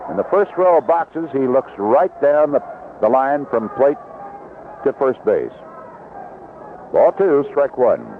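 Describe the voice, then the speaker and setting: A man calling live baseball play-by-play on the radio in clipped, unhurried descriptive phrases. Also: medium pitch, very animated. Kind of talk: live radio sports commentary. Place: outdoor ballpark broadcast position. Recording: vintage 1970s radio broadcast, lo-fi, noisy.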